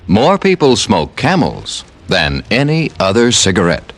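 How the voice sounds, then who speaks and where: Smooth old-time radio announcer, man. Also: lowish voice, huge pitch swings, measured pace. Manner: smooth, persuasive. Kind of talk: 1950s radio commercial announcing.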